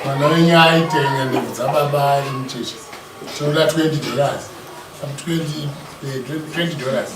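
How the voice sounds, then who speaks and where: A Zimbabwean man leads a staged family negotiation in a deliberate, procedural manner. He is recorded from a distance in a roomy space with clear background noise. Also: on the high side. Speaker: lead negotiator for the bride's family in a dramatized Shona bride price ceremony. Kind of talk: dramatized ceremonial negotiation.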